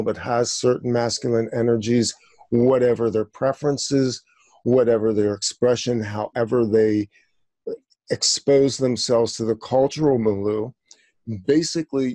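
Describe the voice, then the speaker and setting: A man's voice: mid-range voice, dark tone, no echo. A man in his sixties talking in a rambling, digressive way, full of fillers, on a discussion show. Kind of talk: conversational discussion.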